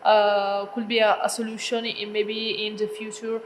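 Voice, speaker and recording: A woman's voice: medium pitch, level tone, normal speed. A woman moderating a panel, asking her questions hesitantly with many "uh" and "um" fillers. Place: large ballroom. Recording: long hall reverb with faint noise.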